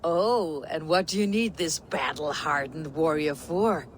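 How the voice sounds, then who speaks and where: Tough viking warrior woman for a game, low husky voice, proud n fiery, noisy ambience behind. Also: measured pace. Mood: playful. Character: proud, hot-tempered Viking warrior woman bent on honor. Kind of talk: dramatic video game acting.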